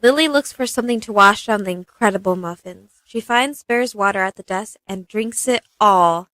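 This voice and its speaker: A woman's voice: mid-range voice, moderate pace, a bit bright, no room sound, close to an everyday voice, poor audio. A woman narrating a scripted radio play, reading stage directions in a matter-of-fact way.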